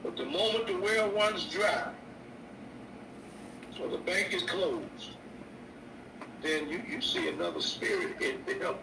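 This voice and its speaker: An older man, a bishop preaching a sermon on his radio show, slow and repetitive with stammered restarts. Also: high-pitched, lively intonation, creaky. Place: indoor room. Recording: echoey distant mic with noise.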